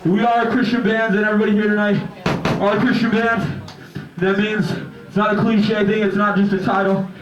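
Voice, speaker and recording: A man's voice: high-pitched, flat delivery, moderate pace. A man speaking from a venue stage, earnestly urging the crowd in a preaching style. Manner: earnest, exhorting. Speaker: band member speaking from stage. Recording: echoey venue, dull sound, faint music.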